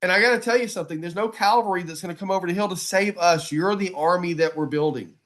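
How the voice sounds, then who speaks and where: A man's voice: high voice, fast, a bit bright. A man giving a motivational advocacy talk, emphatic and folksy, often repeating phrases for weight. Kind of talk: motivational presentation to a group.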